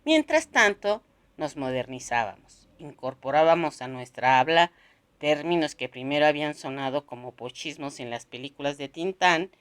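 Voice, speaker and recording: A woman narrating a literary novel aloud, expressively, in measured phrases with pauses. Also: sits low, lively intonation.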